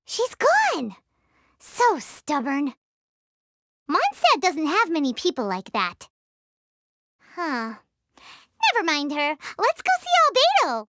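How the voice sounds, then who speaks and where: A woman voicing a tiny, childlike, cheeky fairy companion in a video game, squeaky and exclamatory. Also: wildly swooping pitch, slow, long pauses, bright, forceful tone, dry recording, exaggerated voice. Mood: puzzled, huffy. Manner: speaks of herself in third person, exclamatory. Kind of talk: video game character acting.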